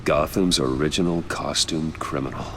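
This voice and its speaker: An actor playing a brooding masked male vigilante detective in a game drama, terse, grave and controlled, with a gravelly voice. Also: normal speed. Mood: grim. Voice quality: very deep, gravelly. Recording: clear background noise.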